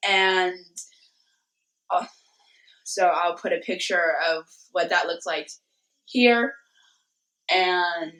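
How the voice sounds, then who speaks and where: Hoarse young woman, slow and rambly. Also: lowish voice, fairly bright tone, low-quality recording. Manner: slow, rambling, many fillers. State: hoarse from a cold. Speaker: cosplay vlogger. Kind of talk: casual craft tutorial. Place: bedroom at home.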